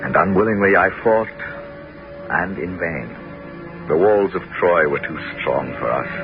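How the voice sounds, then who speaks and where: A man's voice: sits low, lively intonation, measured pace, music in the background. An actor playing a wily, homesick Greek warrior king in a 1970s radio drama. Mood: resigned. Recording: dull, noisy old radio broadcast recording.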